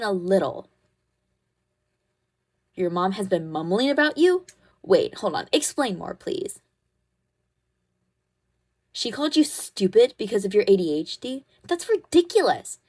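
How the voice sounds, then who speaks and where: A woman playing a caring, protective schoolgirl best friend. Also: mid-range voice. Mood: gently concerned, then indignant, firm. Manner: soothing, reassuring, gently bossy and teasing. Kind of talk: ASMR comfort roleplay, one-sided conversation.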